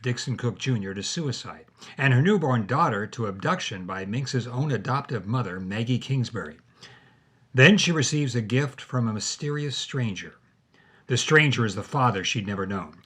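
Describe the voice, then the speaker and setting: A man's voice: mid-range voice, expressive, normal speed, fairly bright tone, slightly rough. A man hosting and narrating a scripted podcast as dramatic storytelling. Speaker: host and narrator.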